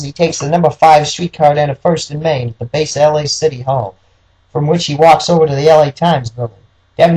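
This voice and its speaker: A man's voice: a little high, a little mellow, low-quality recording. A man reading scripted noir detective story narration as the narrator.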